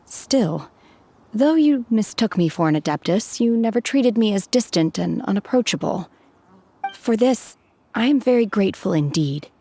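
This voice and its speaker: A woman's voice: medium pitch, animated, normal speed, mellow, a little hiss or hum, lightly acted. An actress playing a reserved, detached young woman who speaks formally and evenly. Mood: calm. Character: aloof, reserved young woman trained by an immortal master. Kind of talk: game voice acting.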